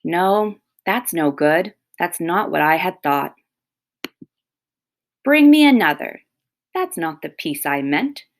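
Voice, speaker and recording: A wise old grandfather koala from a storybook, put on by a woman narrator. He is patient but exacting, and gives his instructions slowly in a creaky voice. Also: fairly low pitch, animated. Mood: disapproving.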